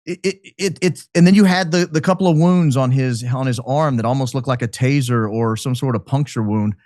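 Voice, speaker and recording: A man speaking as a podcast guest, giving speculative commentary in an emphatic, deliberate way. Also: medium pitch, darkish tone, no room sound, studio quality. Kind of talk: speculative commentary in a talk show.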